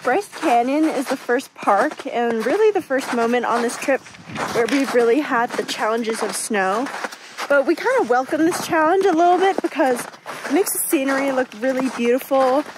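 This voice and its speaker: A young woman narrating a casual travel vlog, calmly explaining the route. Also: sits high, normal speed, noisy background. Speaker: travel vlogger. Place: outdoors on a snowy canyon rim. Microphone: phone camera at arm's length.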